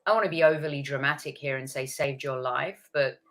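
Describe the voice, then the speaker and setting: A woman's voice: very deep voice, fairly quick. A woman in her fifties hosting an interview, asking long, winding questions. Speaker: host. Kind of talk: interview host.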